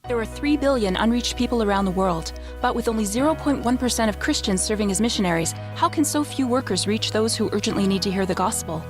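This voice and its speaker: A woman's voice: fairly high pitch, animated, quick pace, hardly any pauses. A woman reading scripted promotional narration as an ad announcer. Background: music.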